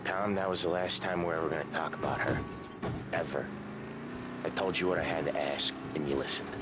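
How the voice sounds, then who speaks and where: An actor playing a gruff, earnest mob enforcer in a video game cutscene. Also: male voice, fairly even intonation, fairly quick, heavy background noise. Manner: gruff, low-key and earnest.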